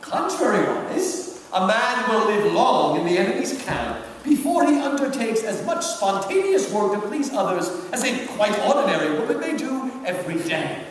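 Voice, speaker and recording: A man playing a pompous, sly senior devil in a dramatic book reading, with a theatrical, deliberate, drawn-out delivery. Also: sits high, big pitch swings. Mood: sly, mocking. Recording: echoey hall from far off, noisy.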